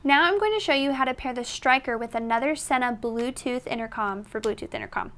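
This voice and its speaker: A woman's voice: sits high, a little fast, a bit bright. A woman presenting a product tutorial in an instructional, step-by-step manner. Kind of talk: tutorial narration.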